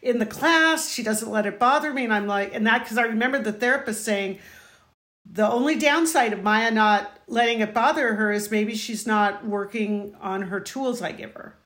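A woman's voice: on the high side, normal speed, a bit bright. A middle-aged woman, the host's mother, talking in an earnest, proud, rambling way. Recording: echoey room.

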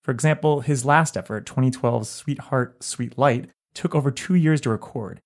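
A man narrating a written album review in a measured, articulate way. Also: medium pitch. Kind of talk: scripted narration.

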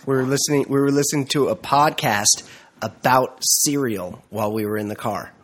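A middle-aged man hosts a joking podcast with a nasal voice, congested from a cold. His tone is husky and a little rough. He teases in a mock-formal, pompous way. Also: mid-range voice, moderate pace, a bit bright. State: stuffy from a cold. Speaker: podcast host. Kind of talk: casual comedic podcast banter.

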